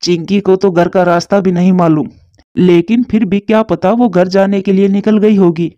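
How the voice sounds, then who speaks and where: A woman voices a devoted father pigeon in a children's cartoon. The tone is mellow and dark, tender yet fretful. The recording is dry and somewhat muffled. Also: mid-range voice, fairly even intonation, moderate pace, no room sound, lightly acted. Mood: panicked. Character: doting, anxious father pigeon.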